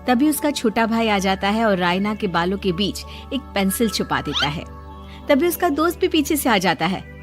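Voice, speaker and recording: A woman's voice: medium pitch, very animated, moderate pace, faint music behind. A woman narrating a scripted cartoon moral story as its storyteller.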